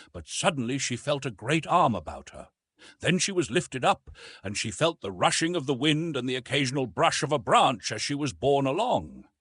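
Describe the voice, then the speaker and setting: A man's voice: medium pitch, a bit bright, slightly rough, no echo, clean studio sound. A man reading an adventure novel aloud as dramatic scripted narration. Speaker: audiobook narrator.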